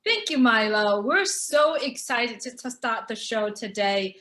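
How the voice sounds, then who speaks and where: A woman hosting an online talk show, welcoming viewers and guests with upbeat, gushing warmth over a video call. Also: a little high, expressive, a little slow. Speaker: show host.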